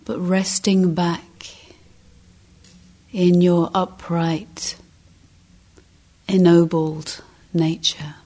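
A woman meditation teacher giving guided instruction, speaking gently and deliberately in short phrases with long pauses. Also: lowish voice, level tone.

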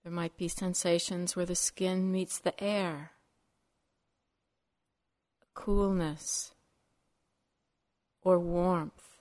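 Slow, low-pitched woman guiding meditation. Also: little pitch movement, darkish tone. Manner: measured, short phrases with long pauses. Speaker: meditation teacher. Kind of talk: guided meditation.

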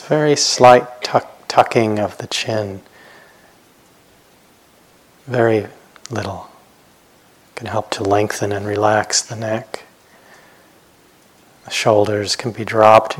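A man guiding a meditation as a teacher, speaking gently in short, unhurried phrases with long pauses. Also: lowish voice. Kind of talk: guided meditation instruction.

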